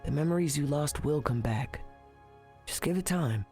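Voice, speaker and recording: A young man playing a cocky, brash teenage alien boy captain in an animated video game, bantering as he goes. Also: on the high side, moderate pace, soft background music, lightly acted. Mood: serious, urgent.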